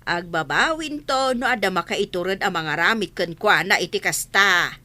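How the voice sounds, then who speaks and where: A woman's voice: mid-range voice, expressive, moderate pace, bright, forceful tone. A woman playing a stern, fed-up woman who scolds sharply in an audio drama. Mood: exasperated. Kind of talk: Filipino audio drama acting.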